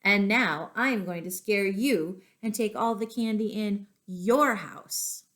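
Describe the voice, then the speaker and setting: A woman voicing a boastful, menacing teenage trick-or-treater in a children's storybook, at a slow pace. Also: medium pitch, a bit bright.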